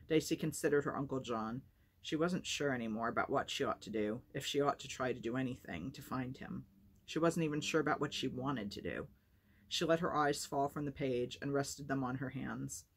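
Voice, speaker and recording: Woman, storytime host, low husky voice, storybook narration.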